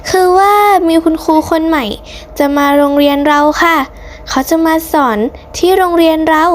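A woman's voice: extremely high pitch, fairly even intonation, normal speed, slight background noise. A woman voicing an eager, polite young schoolgirl in a cartoon story, deferential in manner. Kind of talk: hobby cartoon story dubbing.

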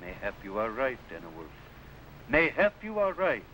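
A man's voice: medium pitch, huge pitch swings, moderate pace, pushed and bright. An actor playing a grave, resolute medieval English warrior king, declaiming solemnly in 1950s television adventure style. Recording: noisy old 1950s film soundtrack.

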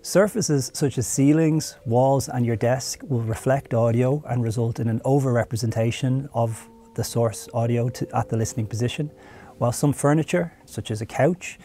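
Mellow-voiced man hosting an interview. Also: mid-range voice. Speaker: host, product marketing head. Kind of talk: conversational interview and product explanation. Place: studio room with curtains and audio gear.

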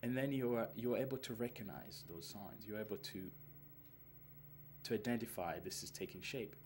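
A man in his thirties from Rwanda with a very low voice talks through interview answers slowly and earnestly, stopping often on fillers and restarts. A clear hiss of room noise sits under his voice. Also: darkish tone. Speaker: filmmaker, interviewee. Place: indoors, seated in front of a film poster.

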